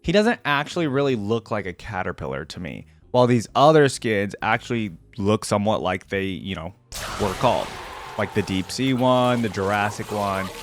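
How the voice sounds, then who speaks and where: A man hosting a casual, unscripted reaction video, commenting in a halting, conversational way as he thinks aloud. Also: on the low side, lively intonation. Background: background music.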